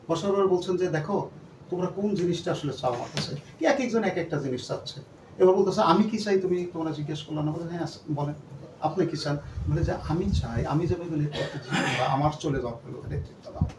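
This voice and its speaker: A man speaking earnestly to a gathering of shareholders as their company leader, repeating himself and dropping in English words. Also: on the high side. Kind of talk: speech to a gathering. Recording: echoey room, distant mic, background noise.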